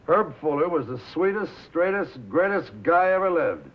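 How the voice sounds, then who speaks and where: Casting: brash American bandleader, defensive wise guy, animated higher voice, 1950s film drama. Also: moderate pace. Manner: slangy, cocky, punchy street talk. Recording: muffled vintage film soundtrack.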